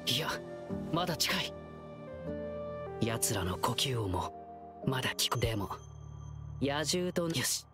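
A man voicing a gentle, alert and confident boyish young guide in a video game. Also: mid-range voice, very animated, slow pace, pauses often, over music. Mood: cautious, hushed. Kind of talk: Japanese game voice acting.

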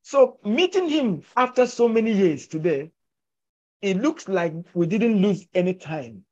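An older man speaks in an emphatic, persuasive way, driving home each point. His voice sits high for a man. It comes through a muffled, dull-sounding video call. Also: very animated, measured pace, a little mellow. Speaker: filmmaker and actor discussing his film. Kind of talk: conversational storytelling. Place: indoors at home, virtual backdrop.

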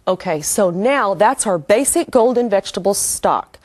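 A woman's voice: mid-range voice, animated, normal speed, darkish tone, no room sound, clean studio sound. A middle-aged woman hosting a cooking show, talking viewers through each step in a chatty, homey way while cooking at the stove. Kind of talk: cooking demonstration. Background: sizzling pan and stirring.

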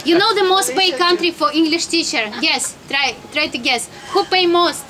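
A woman's voice: extremely high pitch, moderate pace, pushed and bright. A woman in her thirties from Russia with a Russian accent, giving a chatty, informal travel lecture outdoors and often questioning her listeners. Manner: chatty, laughs often, asks the audience questions. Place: outdoors, sunny courtyard among high-rise buildings. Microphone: camera in front, at a few meters. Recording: outdoor talk with audience chatter behind.

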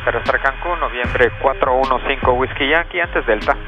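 Pilot on a headset mic. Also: male voice, medium pitch, moderate pace, music in the background. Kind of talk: clipped radio calls and cockpit chat. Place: small jet cockpit. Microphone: aviation headset boom mic.

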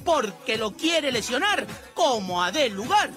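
A Peruvian woman reads a scripted gossip voice-over with a sarcastic, teasing edge. Her voice sits high, and loud dance music plays under every line. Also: very animated, measured pace. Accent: Peruvian Spanish. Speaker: gossip show narrator. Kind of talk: mocking scripted voice-over narration. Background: loud reggaeton music.

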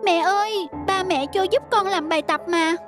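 Meek, high-voiced little schoolgirl. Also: moderate pace, music in the background. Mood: pleading. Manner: hesitant, meek. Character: small schoolgirl, timid, sad, longing for affection. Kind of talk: cartoon dialogue.

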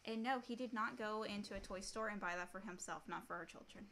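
A woman's voice: medium pitch, fast talker, a bit bright, a little hiss or hum. A woman in her thirties co-hosting a casual livestream, reading questions aloud and chatting playfully at a computer mic in a small room. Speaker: co-host reading audience questions. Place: small room at home, indoors.

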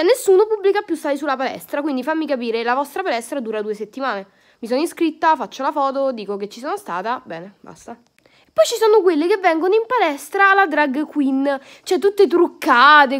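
A woman's voice: high-pitched, a bit bright. Sarcastic young woman ranting. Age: young adult. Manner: sarcastic, exasperated, crude slang, lots of fillers. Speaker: vlogger. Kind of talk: casual comedic rant to camera. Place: indoors, bedroom, lying on bed. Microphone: phone camera at arm's length.

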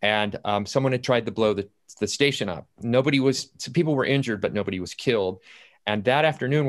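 Low-voiced man telling stories on a panel. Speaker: playwright on a discussion panel. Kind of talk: anecdotal panel talk about writing. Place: indoors at home, on a video call. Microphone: computer video-call audio.

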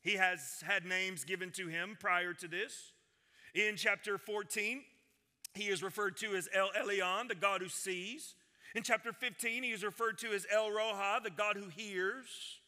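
Forties guy preaching on a church stage, high bright voice, goes from booming to hushed, long echo of the sanctuary behind him. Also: fairly even intonation, measured pace. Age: 40s. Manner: preaching with wide gestures, swinging from loud proclamation to hushed intensity. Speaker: senior pastor. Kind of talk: sermon. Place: large church stage with piano and guitars.

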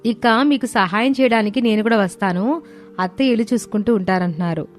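A woman's voice: medium pitch, fast talker, close to an everyday voice. A woman voicing a confident, dutiful young wife and mother in a dramatised story, assertive and reassuring.

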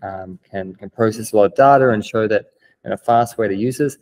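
New Zealand man, low voice, explaining. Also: a little fast, darkish tone. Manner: eager, explanatory, many "um" fillers. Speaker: guest, business developer. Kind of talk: remote video interview. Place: plain-walled room indoors.

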